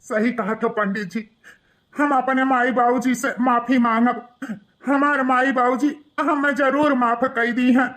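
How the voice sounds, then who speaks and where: A man's voice: very high-pitched, little pitch movement, a little slow, fairly bright tone. A man with an Awadhi accent playing a remorseful, guilt-ridden grown son who pleads repetitively in a melodramatic moral cartoon. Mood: remorseful, hopeful.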